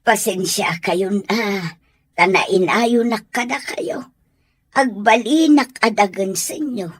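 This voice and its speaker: A woman with an Ilocano accent acting an older mother in a radio drama, with a slightly husky voice. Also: mid-range voice, slow pace, dry recording, studio quality. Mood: tender, wistful.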